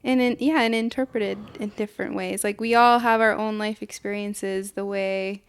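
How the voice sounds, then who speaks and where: A woman's voice: mid-range voice, steady tone, normal speed, clear, resonant tone. A young woman from New Hampshire, a podcast guest, speaking thoughtfully and a little hesitantly, with many "like"s. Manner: thoughtful, hesitant, says "like" often. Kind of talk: casual podcast chat.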